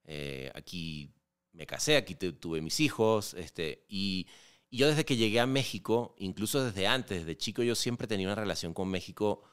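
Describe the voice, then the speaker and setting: A man in his forties from Venezuela with a Venezuelan Spanish accent, a guest chatting in an interview, relaxed, with many fillers and restarts. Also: deep voice, normal speed, smooth. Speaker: podcast guest, filmmaker. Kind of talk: casual interview conversation.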